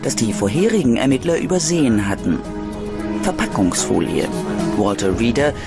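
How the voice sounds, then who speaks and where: A man narrating a scripted true-crime documentary in a dramatic, measured storytelling way. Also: medium pitch, sing-song, creaky. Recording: music behind the voice. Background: background music.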